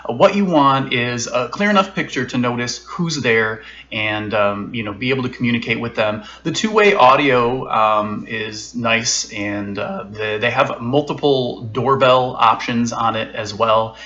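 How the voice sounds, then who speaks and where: A man giving a casual, unscripted tech product review from home, relaxed, with frequent fillers and restarts. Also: medium pitch, a little slow, few pauses, dull audio.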